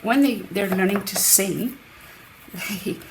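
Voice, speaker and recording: A woman hosting a card-making demonstration, chatting through each step with a croaky voice. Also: medium pitch, slow. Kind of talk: casual craft demonstration. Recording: roomy, mic at a distance, faint background noise. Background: birds outside.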